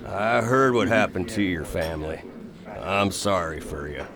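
A man playing a rough, plain-spoken merchant sea captain in a radio drama, telling things in folksy sailor dialect. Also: low-pitched, lively intonation, unhurried. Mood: wary recounting. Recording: busy crowd noise behind voice.

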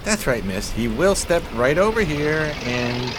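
A man's voice: fairly high pitch, big pitch swings, a little slow, background noise. An actor playing a scheming homeless man in a 1920s radio drama, with florid, mock-genteel diction and a hapless air. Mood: smooth-talking. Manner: florid, ornate, theatrically polite diction. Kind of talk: radio drama acting, 1920s period piece.

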